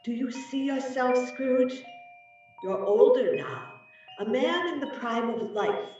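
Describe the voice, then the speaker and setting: A woman acts out a solemn female Christmas spirit who accuses with theatrical weight. Her pitch swings widely as she reproaches. The voice sounds distant and muffled in a very echoey space, over faint music. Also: lively intonation, slow pace, a little mellow. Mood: solemn, reproachful. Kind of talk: dramatic reading of a Victorian Christmas story.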